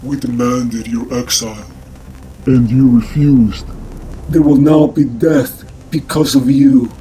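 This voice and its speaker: Male actor, menacing elemental giant, very dark timbre, slow, solemn, ominous, comic dub, background music. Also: mid-range voice, steady tone, lightly acted, poor audio. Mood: solemn menace. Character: menacing, wrathful elemental giant threatening all life. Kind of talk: dramatic comic dub acting.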